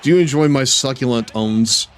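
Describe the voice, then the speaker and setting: A man chatting casually with his chat while playing a wrestling game on stream, laid-back and drawling, and given to sudden excited shouts. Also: mid-range voice, normal speed, faint music behind.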